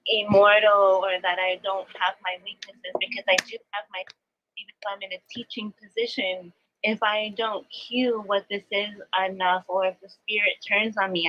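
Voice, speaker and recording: A woman's voice: mid-range voice, flat delivery, normal speed, dull audio. A woman speaking as a spiritual teacher, explaining earnestly and reflectively in new-age language during a live coaching talk. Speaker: spiritual teacher, astrology adviser.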